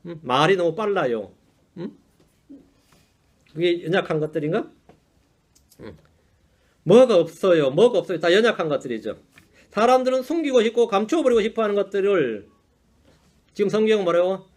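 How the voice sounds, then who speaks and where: A male pastor preaches a Sunday sermon with a rather high voice that leaps up and down in pitch. He talks to the congregation in a chatty, self-deprecating way and keeps checking in with quick rhetorical asides. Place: church sanctuary.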